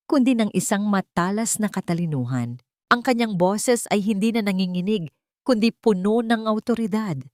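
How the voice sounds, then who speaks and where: A woman's voice: medium pitch, dry recording, studio quality. A woman narrating a scripted drama as storyteller, solemn and emphatic, in short dramatic phrases.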